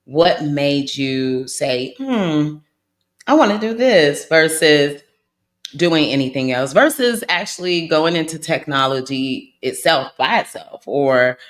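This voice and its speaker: An upbeat host leads a relaxed interview, nudging the guest with encouraging remarks. Questions come out chatty and a little meandering. The host turns to the viewers now and then. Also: mid-range voice, slow pace. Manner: upbeat, affirming, addresses viewers directly. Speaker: podcast host. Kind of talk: casual interview hosting.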